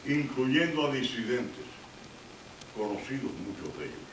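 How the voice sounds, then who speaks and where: A man in the audience asking the panel a question in a measured way. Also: medium pitch, animated, echoey room, far from the mic, slight background noise, poor audio.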